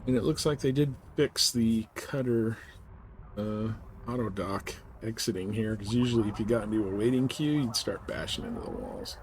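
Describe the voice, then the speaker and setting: A man's voice: on the low side, expressive, moderate pace. A man streaming a game, chatting casually in a laid-back, rambling way as he answers viewers. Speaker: live streamer playing a space trading game. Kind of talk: casual gameplay chat. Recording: faint background noise.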